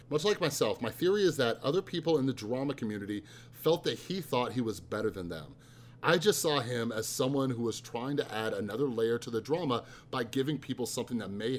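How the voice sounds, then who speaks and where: Casting note: man in his thirties, subdued steady read-aloud of his own writing, middle pitch. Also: a little hiss or hum. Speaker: author.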